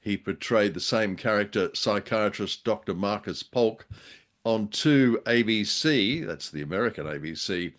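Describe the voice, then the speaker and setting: Man, low pitch, co-host, conversational review, measured, hesitant, mildly wry. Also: lively intonation, a bit bright. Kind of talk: conversational radio drama review.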